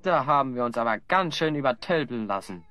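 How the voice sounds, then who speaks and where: An actor playing a level-headed, dryly ironic adventurer hero in an audio drama. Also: male voice, medium pitch, expressive, a little fast, pushed and bright. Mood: puzzled. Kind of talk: German audio drama acting.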